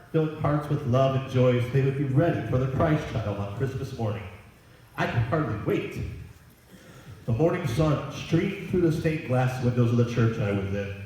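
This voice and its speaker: Male storyteller, Santa role, dramatic deliberate reading, animated intonation, distant and strongly reverberant recording. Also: mid-range voice. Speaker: storyteller playing Santa Claus. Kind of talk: expressive reading aloud of a Christmas story. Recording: echoey room, distant microphone.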